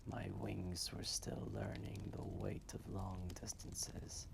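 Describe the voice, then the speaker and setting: A man voicing an ancient, gentle, wistful dragon telling a fireside roleplay story in a soft, breathy, measured and gravelly voice. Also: low-pitched, level tone, in a whisper, full character voice. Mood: nostalgic. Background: quiet fireplace ambience.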